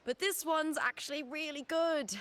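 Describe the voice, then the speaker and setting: An actress playing an upbeat, fiery young female arena fighter in a video game, chatty and animated. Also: high-pitched, normal speed. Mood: playful, sheepish. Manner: animated, breezy, casually chatty. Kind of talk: video game character acting.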